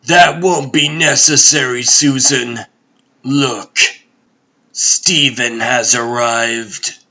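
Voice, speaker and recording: Imperious comic-book tyrant god played by a man, very slow delivery, forceful edge, cold and pompous. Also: mid-range voice, fairly even intonation, full character voice. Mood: disdainful. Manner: pompous, commanding, deliberate. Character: imperious male god emperor, cold, haughty, brooding tyrant. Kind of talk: comic book dub, dramatic voice acting.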